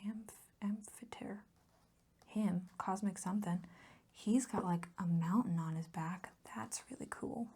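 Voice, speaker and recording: A woman speaking in a soft, whispery voice for a casual ASMR chat, rambling along with gentle enthusiasm close to the mic. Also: medium pitch, steady tone, unhurried, slightly rough. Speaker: ASMR video host.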